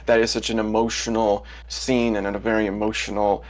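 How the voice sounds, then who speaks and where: Eager, rambling man with a low voice. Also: little pitch movement, brisk. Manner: eager, rambling, restarts words. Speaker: fan asking a question. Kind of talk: live stream Q&A.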